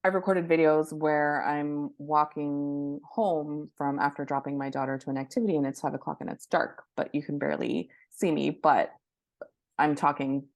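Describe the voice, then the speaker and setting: A woman with a fairly deep voice gives informal expert advice in an interview. She explains in a conversational way, leaning on practical examples. The sound is dry, with little room around it. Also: fairly even intonation, moderate pace, vocal fry, dry recording, faint music behind. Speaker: guest expert, book publishing professional and influencer. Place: indoors by a window, at a laptop.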